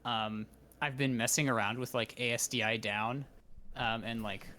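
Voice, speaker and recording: A man's voice: mid-range voice, steady tone, moderate pace, bright, forceful tone. A man chatting casually as a student in a coaching session, hesitant and thinking aloud as he goes. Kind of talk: casual chat. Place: remote online voice call.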